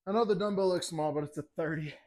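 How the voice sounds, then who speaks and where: A man's voice: fairly high pitch, fast, a little mellow. A young man vlogging his workout in a home gym, rambling casually between sets and winded from lifting, picked up by a camera across the room. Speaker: fitness vlogger. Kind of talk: casual commentary during a workout.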